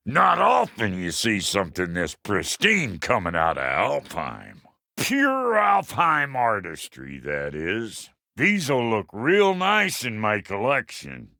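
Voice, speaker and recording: A man voicing a gruff, foul-mouthed but good-hearted dwarf blacksmith in a video game, with a rough Southern American accent and a blunt, folksy manner. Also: a little high, pushed and bright, dry recording, clean studio sound, full character voice. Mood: appraising, admiring. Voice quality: gravelly, rough-edged. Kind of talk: video game character acting.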